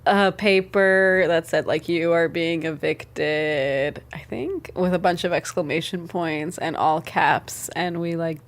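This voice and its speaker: A woman casually recounting events in an interview as a resident. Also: fairly low pitch. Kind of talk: casual anecdotal interview talk.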